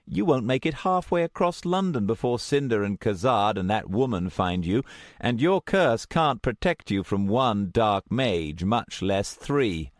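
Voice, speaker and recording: A man's voice: fairly low pitch, expressive, a little slow, dry recording, clean studio sound, pitched above the performer's own voice. Man acting an insistent, exasperated male story hero. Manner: insistent, reasoning, sometimes snarky. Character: exasperated, protective man who can see the future.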